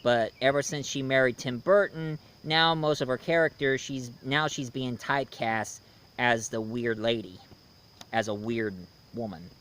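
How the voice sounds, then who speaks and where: A man in his thirties giving a casual, unscripted review as the host, haltingly, often searching for words and repeating himself. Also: medium pitch, a bit bright, slight background noise.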